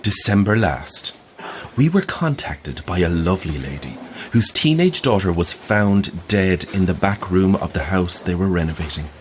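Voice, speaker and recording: An actor in an audio drama playing an earnest, insistent believer in the afterlife, speaking formally and solemnly. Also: male voice, low voice, lively intonation, moderate pace, a little hiss or hum. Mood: solemn storytelling. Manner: formal, apologetic, storyteller's solemn phrasing. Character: earnest, insistent male afterlife-society believer telling ghostly stories.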